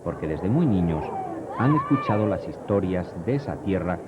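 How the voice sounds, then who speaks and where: A man reading scripted narration for a television report in a measured, solemn manner. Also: deep voice, sing-song, very noisy. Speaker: documentary narrator. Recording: dull old TV audio under music.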